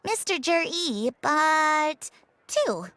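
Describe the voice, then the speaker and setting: A woman's voice: sits high, big pitch swings, drawn-out words, pushed and bright, full character voice. A woman playing a courteous, businesslike shopkeeper selling wares in a video game. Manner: courteous, salesmanlike. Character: polite, businesslike female wineware shopkeeper. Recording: background music under the dialogue.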